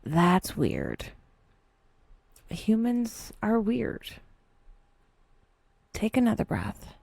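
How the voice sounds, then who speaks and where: A woman narrating a scripted guided meditation with wry, dry humor and a soft, breathy delivery, leaving unhurried pauses. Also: mid-range voice, wildly swooping pitch, dry recording.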